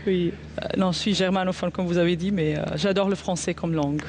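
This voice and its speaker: A woman's voice: lowish voice, steady tone, a little fast, darkish tone, slight background noise. A young Egyptian woman, an opera singer, answering interview questions live outdoors, hesitant and laughing easily.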